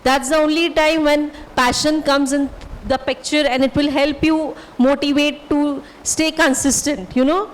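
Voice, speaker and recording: A woman panelist gives a student reassuring advice. Her voice is high and steady. The room adds a long reverb. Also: a little slow.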